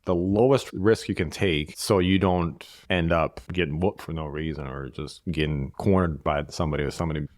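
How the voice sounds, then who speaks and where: A man. He speaks in a measured, reflective way and circles back to the same phrases. He sounds dry and close, with no room sound around him. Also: very deep voice, dry recording. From Bosnia. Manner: measured, repeats key phrases. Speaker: guest. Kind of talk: reflective interview conversation.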